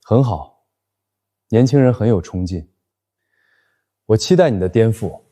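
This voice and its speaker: A man plays a demanding executive client in an animated drama. He is cold and exacting, with a low, dark, mellow voice. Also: measured pace. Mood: stern. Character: cold, demanding male corporate client boss. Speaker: actor in an animated short drama. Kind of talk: dubbed animated workplace drama.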